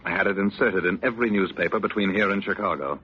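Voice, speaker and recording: Low-voiced sardonic radio villain played by a man. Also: fast talker, lightly acted. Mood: gloating. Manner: mockingly polite, drawling endearments, theatrical. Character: sardonic, menacing crippled politician, taunting his wife. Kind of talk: 1940s radio drama acting. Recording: muffled, noisy old radio recording.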